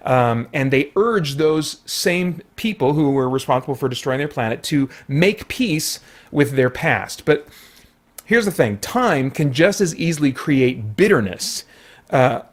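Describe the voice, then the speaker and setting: Higher-pitched man reviewing casually. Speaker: movie reviewer and channel host. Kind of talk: casual video movie review.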